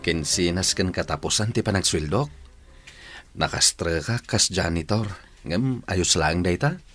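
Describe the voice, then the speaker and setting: A man's voice: low voice, a little slow, fairly bright tone. A man acting an earnest, repentant Filipino husband and father in a radio drama.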